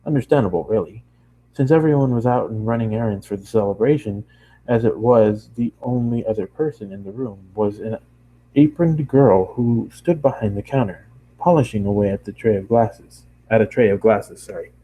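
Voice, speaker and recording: A man's voice: on the low side, moderate pace, husky, phone-quality sound. A man narrating a story aloud in an amateur reading, now and then stumbling and correcting himself. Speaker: amateur fan fiction reader.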